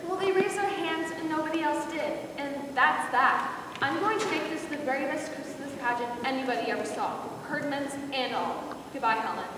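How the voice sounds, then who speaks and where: A woman playing a brisk, firm director of a children's Christmas pageant in an amateur readers theater skit, instructive and no-nonsense. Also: high-pitched, a bit bright. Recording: distant, very echoey hall with background noise.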